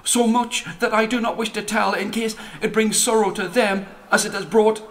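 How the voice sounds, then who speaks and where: A man voicing a young, timid comet in a story, halting and sorrowful, repeating his phrases. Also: high voice, flat delivery, fairly bright tone, recorded from a distance, slight background noise, voice pushed up. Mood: hesitant, troubled. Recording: music playing under the voice.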